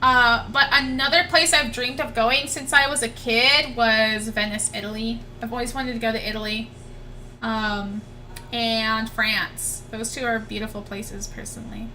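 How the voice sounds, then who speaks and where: A woman's voice: on the high side, fairly even intonation, measured pace, sharp tone, a little hiss or hum, low-quality recording. A young woman casually chatting on a game livestream, rambling and gushing, often repeating herself. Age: young adult. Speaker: streamer, VTuber. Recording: music behind the voice.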